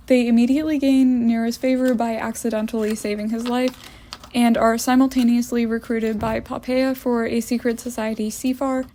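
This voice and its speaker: A woman's voice: a little high, warm tone. A young woman giving a casual, wry voice-over review of films for her art vlog. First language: English. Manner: wry, opinionated.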